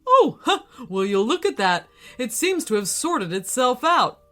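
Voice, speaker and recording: A woman playing a calm, breezy, mysterious male schoolteacher in a children's story, sounding innocently unbothered. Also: a little high, measured pace. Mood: brisk, cheerful.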